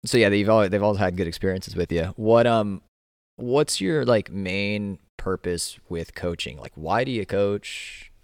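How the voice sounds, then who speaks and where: A man's voice: on the low side, unhurried, no echo, clean studio sound. A man hosting a casual podcast interview, rambling with frequent restarts and fillers. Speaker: podcast host, gym owner.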